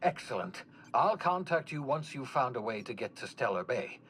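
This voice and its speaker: An actor playing an eccentric, excitable older rogue scientist in a science fiction game, with a theatrical, wry and hesitating delivery. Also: male voice, mid-range voice, moderate pace, a little hiss or hum. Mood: wry, enthusiastic. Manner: theatrical, wry, hesitating, swinging from chirpy to hushed. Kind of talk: scripted sci-fi game voice acting.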